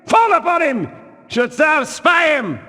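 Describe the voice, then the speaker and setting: An actor playing a watchful, excitable zealot guard in a fantasy game, with an emphatic, declamatory delivery. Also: male voice, extremely high pitch, takes it slowly, exaggerated voice. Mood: wary, warning. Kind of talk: scripted fantasy video game barks. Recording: long reverberant hall echo.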